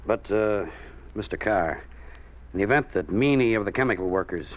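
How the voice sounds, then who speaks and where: A dull, muffled old radio recording of a man acting as a regime henchman. His voice is fairly low. He reports formally, with the deference of a dutiful subordinate. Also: animated, moderate pace, lightly acted. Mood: uneasy. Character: dutiful, deferential male henchman of a party boss. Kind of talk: 1950s radio drama acting.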